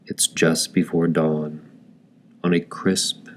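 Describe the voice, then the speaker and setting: Very deep-voiced man guiding meditation slowly. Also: a little hiss or hum. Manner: gentle, calm, deliberate phrasing with long pauses. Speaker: guided meditation narrator. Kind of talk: guided meditation, slow soothing scripted narration.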